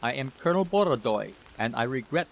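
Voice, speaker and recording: A man's voice: medium pitch, lively intonation, a little fast, gravelly, muffled recording, a little hiss or hum. Formal Colonel Borodoy as read by a man. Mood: stiff regret. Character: formal, regretful senior Russian colonel, Borodoy.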